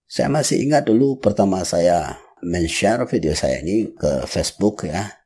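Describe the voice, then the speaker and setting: A man's voice: sits low, lively intonation, measured pace, warm tone, husky. A man from West Sumatra with a noticeable Minangkabau accent, talking candidly to his viewers as a YouTuber about his channel, earnest and emphatic. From West Sumatra, Indonesia. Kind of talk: reflective talk to camera.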